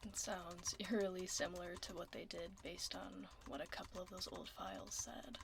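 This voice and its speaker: A woman playing a laid-back tomboy werewolf office admin in a soft-spoken, partly whispered ASMR roleplay, casual and understated, close to the mic. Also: fairly low pitch, expressive, normal speed, creaky. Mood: calm, focused. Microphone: close binaural microphone. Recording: faint noise, keyboard typing. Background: computer keyboard typing.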